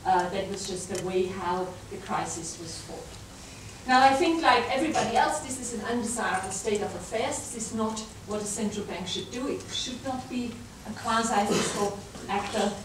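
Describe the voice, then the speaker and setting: A woman with a German accent gives a university talk, pausing on fillers and restarting her phrases as she goes. She is recorded from far off in a large, very reverberant lecture room. A steady hum of background noise sits under her voice. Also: medium pitch. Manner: hesitant, many fillers and restarts. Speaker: guest lecturer. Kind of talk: academic talk to students.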